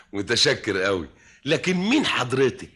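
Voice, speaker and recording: An actor playing a meek, bumbling male office clerk in an old Egyptian radio comedy, stammering and animated with an Egyptian accent. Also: medium pitch, measured pace, slightly rough, exaggerated voice. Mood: puzzled, eager.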